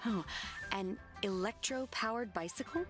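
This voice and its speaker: A woman's voice: medium pitch, unhurried, soft background music, natural voice. An actress playing an earnest, stoic young female disciple in a video game, speaking politely and very literally. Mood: matter-of-fact. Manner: matter-of-fact, polite, literal.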